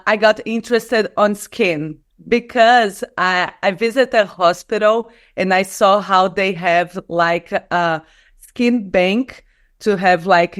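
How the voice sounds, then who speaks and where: A woman in her mid-thirties speaks English with a Brazilian Portuguese accent at a medium pitch. She talks as an interview guest, telling her story and explaining her research in an earnest, conversational way, with slightly non-native phrasing. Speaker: guest, skin and stem cell researcher.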